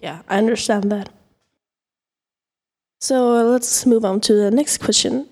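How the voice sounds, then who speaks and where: A woman's voice: on the high side, steady tone, unhurried, a little mellow. An eighteen-year-old woman from Sweden, hosting a casual student podcast and leading the questions in a friendly way. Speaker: podcast host.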